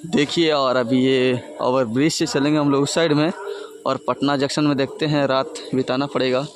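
A man's voice: medium pitch, fairly even intonation, moderate pace, background noise. A young man casually narrating a travel vlog outdoors at a busy railway station, talking to viewers as friends while filming on a phone at arm's length. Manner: chatty, addresses viewers as friends. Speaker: travel vlogger.

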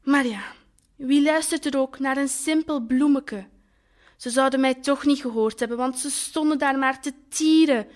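A woman playing a naive, wistful talking flower in an audio drama, with a Flemish accent and expressive colloquial storytelling. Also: sits high, moderate pace, lightly acted. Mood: earnest, bewildered. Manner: colloquial, expressive, lingering over words.

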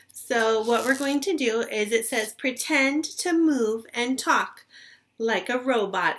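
A woman teacher in her thirties talks to young children in a fairly high voice. She takes her time, explaining each step simply and deliberately, and checks in with questions to the listener. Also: a bit bright. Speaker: elementary teacher. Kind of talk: instructional talk to young children. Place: small furnished room at home.

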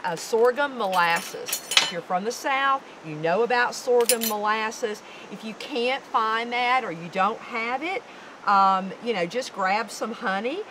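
A woman in her fifties from the American South, giving an upbeat, instructive cooking demonstration on an outdoor deck. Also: a little high. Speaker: guest cook. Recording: outdoor background noise.

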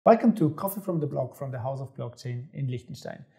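A middle-aged man hosting a studio interview, asking his guest questions in a friendly, slightly halting way on a lapel mic. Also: medium pitch, lively intonation, gravelly, warm tone. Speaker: host. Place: studio set with armchairs.

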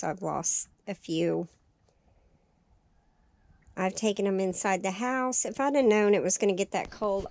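A woman walking through her greenhouse, chatting cheerfully about her seedlings, her voice raspy and tired from lack of sleep. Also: mid-range voice. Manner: chatty, cheerful, thinking aloud. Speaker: farm vlogger. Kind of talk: casual walkthrough narration. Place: small greenhouse, indoors.

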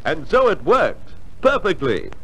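A man's voice: on the high side, wildly swooping pitch, a little slow, rough recording. A man narrating and announcing a scripted old-time radio drama.

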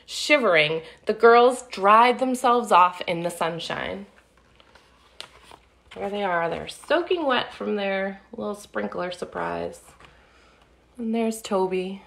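Slow, animated woman reading to children. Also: mid-range voice, vocal fry. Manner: animated, slow and deliberate, sing-song child-directed delivery. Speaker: children's storytime reader. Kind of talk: expressive picture-book read-aloud with friendly asides to young children. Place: living room at home, indoors. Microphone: phone or webcam at arm's length.

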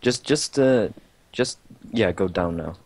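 A man's voice: lowish voice, expressive, slow, a little mellow, no echo. A young man playing along as a co-op partner, answering in short, laid-back and teasing lines. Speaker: co-op gaming partner. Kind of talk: casual gameplay chat.

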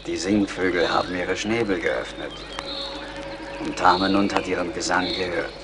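An actor playing a proud, dignified young Mohican warrior in a German radio play, in solemn, measured declamation. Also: male voice, low voice, fairly even intonation. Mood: proud, calm. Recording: faint background noise.

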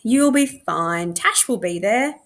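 A woman's voice: mid-range voice, lively intonation, takes it slowly. A woman voicing a mild, placating father in a storybook, reassuring and apologetic in manner.

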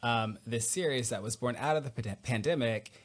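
A man in his thirties hosting a podcast interview over a video call. He speaks warmly and encouragingly, and he asks long, open questions. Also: mid-range voice, normal speed, husky. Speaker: podcast host. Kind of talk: conversational interview. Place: home room, on a video call. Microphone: over-ear headset.

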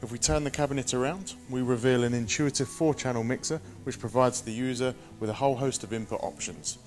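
A man in his thirties presenting a scripted product walk-through in a measured, instructional manner. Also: mid-range voice, fairly even intonation, faint music behind.

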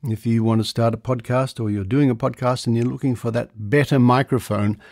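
A man's voice: mid-range voice, fairly even intonation, fairly quick, a little mellow. A man hosting a podcast in a semi-scripted monologue, recorded on a close studio microphone in a treated room. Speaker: podcast host. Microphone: close studio condenser microphone.

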